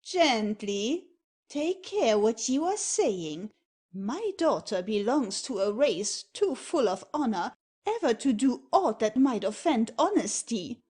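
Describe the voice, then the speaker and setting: A woman's voice: a little high, very animated, takes it slowly, darkish tone, husky, exaggerated voice. An actress playing a haughty noblewoman and strict mother-in-law in a theatrical comedy audio play, imperious and grandly declamatory. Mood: affronted.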